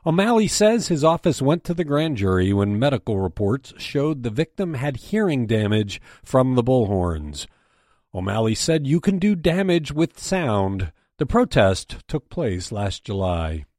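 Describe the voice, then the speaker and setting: American guy hosting a news briefing, reads a script, pitch moves around a lot, really dry close sound. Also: very animated, unhurried, darkish tone, no room sound. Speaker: news editor and briefing host.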